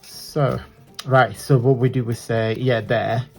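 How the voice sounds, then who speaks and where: A man with a croaky, gravelly voice talks unhurriedly through a hands-on craft tutorial at his desk. He is chatty and apologetic, trailing off as he works, and sounds a little husky throughout. Faint music plays underneath. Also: medium pitch, fairly even intonation, slow pace, darkish tone.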